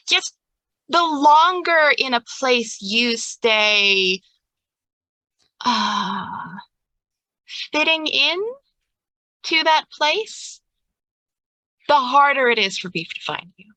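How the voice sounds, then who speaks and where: Woman playing an earnest, cryptic ringmaster, bright voice. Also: high-pitched, expressive, very slow, long pauses, dry recording, full character voice, low-quality recording. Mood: grave, reassuring.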